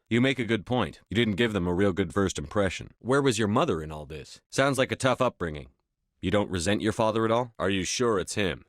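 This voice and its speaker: An actor playing a stern, steady human military commander in a sci-fi video game, clipped, authoritative and matter-of-fact. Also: male voice, on the low side, no echo, studio quality. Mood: blunt, reproachful, then probing. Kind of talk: video game dialogue acting.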